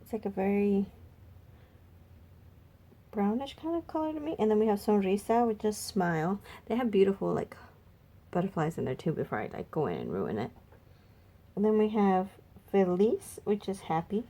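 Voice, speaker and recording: A woman makeup vlogger chatting casually and thinking aloud, her voice a little raspy and stuffy from a cold. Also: mid-range voice, big pitch swings, moderate pace, long pauses, mellow. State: slightly raspy, congested from a cold. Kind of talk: casual makeup swatch review chat.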